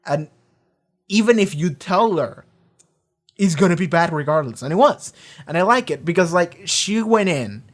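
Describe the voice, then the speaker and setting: A reaction video host in a casual, rambling discussion, often talking loudly, swearing freely and filling pauses with lots of "like" and "um". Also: medium pitch, expressive. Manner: swears freely, many fillers and restarts; often talks loud.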